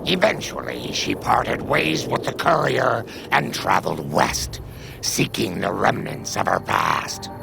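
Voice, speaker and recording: A man playing a sombre storyteller, narrating a video game epilogue in a gravelly, measured voice. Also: medium pitch, animated, over music, full character voice. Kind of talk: scripted game ending narration.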